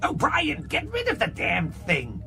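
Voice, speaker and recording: A man voicing a scheming, greedy male alien barkeeper with a high, wheedling voice in an audiobook, music behind him. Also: wildly swooping pitch, unhurried, creaky, pushed and bright, full character voice. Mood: agitated. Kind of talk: audiobook character voice.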